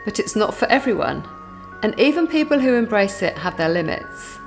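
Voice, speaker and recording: Woman, documentary narrator, measured, formal, music behind. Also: medium pitch, big pitch swings. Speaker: researcher narrator and interviewer. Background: background music.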